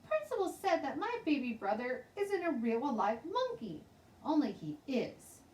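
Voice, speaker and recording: A woman's voice: sits high, big pitch swings, normal speed, darkish tone, pitched above the performer's own voice. A woman voicing a stubborn, chatty little kindergarten girl with childish, emphatic grammar in a children's storybook read-aloud. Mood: loud, insistent. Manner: childish ungrammatical speech, emphatic, insistent. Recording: roomy, distant mic, faint noise.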